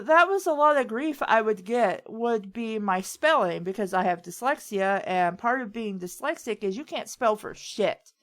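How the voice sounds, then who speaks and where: A woman chatting casually as a host, giving informal advice and personal anecdotes. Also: on the high side. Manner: informal, anecdotal, occasionally profane. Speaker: host of a writing advice talk.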